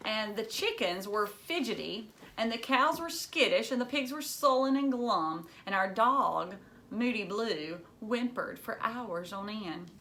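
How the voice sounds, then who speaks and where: Woman teacher doing a kids' book read-aloud, bright voice, expressive storytelling. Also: on the high side, measured pace.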